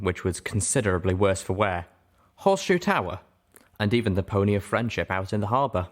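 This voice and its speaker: A man with a fairly low voice reads fiction aloud. His intonation is very lively and moves widely. He hosts and narrates expressively in the first person. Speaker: audiobook reader and show host.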